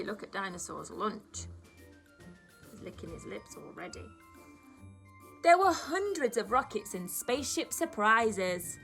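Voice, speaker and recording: Bright-voiced woman reading to young children. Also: mid-range voice. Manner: animated, dramatic emphasis for young listeners. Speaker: primary school teacher reading aloud to children. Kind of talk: expressive children's storybook reading.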